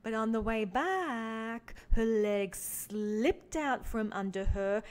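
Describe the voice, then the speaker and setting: A woman reading a children's picture book aloud as a teaching artist, with expressive emphasis for young listeners. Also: a little high, takes it slowly. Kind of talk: animated children's storybook read-along.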